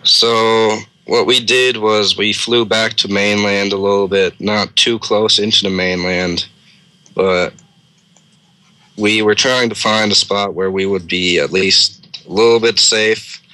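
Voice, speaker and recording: Male co-host, very deep but bright and forceful, telling an improvised story casually. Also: steady tone, slow pace, no echo.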